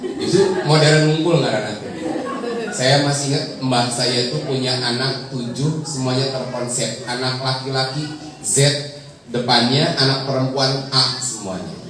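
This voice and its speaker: A man's voice: mid-range voice, normal speed, a crowd in the background. A man from Bandung with a Sundanese accent, a preacher casually telling stories about his childhood in a joking, chatty way. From Bandung, Indonesia. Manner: joking, chatty, laughs often. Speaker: preacher and guest speaker. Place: large echoey hall with an audience. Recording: echoey hall, distant mic, audience murmur.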